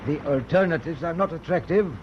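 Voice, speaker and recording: An actor in a British radio drama playing a determined German naval captain, formal, measured and authoritative. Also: male voice, on the high side, lightly acted. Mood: stern, resolute. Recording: dull, faintly noisy old radio recording.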